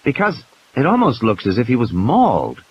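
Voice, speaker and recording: A man voicing a firm, questioning woman emergency doctor with a brisk, direct manner in an audio play. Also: medium pitch, wildly swooping pitch, muffled recording, a little hiss or hum. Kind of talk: audiobook character acting.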